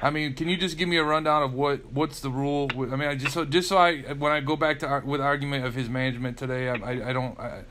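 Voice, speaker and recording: A man's voice: medium pitch, fairly even intonation, quick pace, hardly any pauses, bright, forceful tone, a little hiss or hum. A man working as a public insurance adjuster asks questions on a recorded phone call, repeating words and restarting often. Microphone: telephone call recording.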